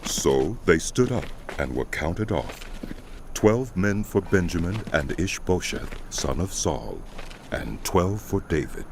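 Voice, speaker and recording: Deep, solemn male scripture narrator. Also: lively intonation, vocal fry, slight background noise. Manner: measured, solemn, phrase by phrase. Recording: loud music bed under voice. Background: music.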